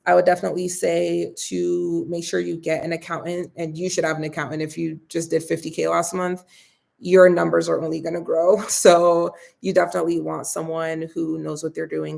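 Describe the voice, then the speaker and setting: A young woman hosts a relaxed live question-and-answer session, reading viewer comments aloud and answering in a chatty, friendly way. Her voice has a strong gravelly fry, and it turns a little raspy after so much talking. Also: fairly low pitch. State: getting a bit raspy from talking. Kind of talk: casual live Q&A.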